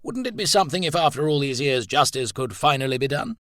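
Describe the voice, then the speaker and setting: A man voicing a jovial upper-class gentleman who talks in a hearty, chummy way. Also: medium pitch, lively intonation, fast talker, no room sound, studio quality, voice pushed up, lightly acted.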